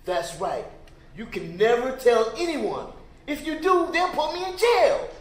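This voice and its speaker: A sinister man who coaxes a child into silence, as an actor plays him, with a hushed, slow voice that leaps high and dips. It sounds roomy and distant, like a stage recorded from far off. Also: big pitch swings, heavily acted. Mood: coaxing. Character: adult man abuser, soft coaxing, sinister. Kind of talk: stage play acting.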